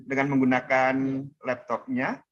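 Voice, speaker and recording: A man's voice: mid-range voice, completely flat delivery, moderate pace. A man moderating a session over a video call, speaking briefly and matter-of-factly. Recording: dull lo-fi video call audio.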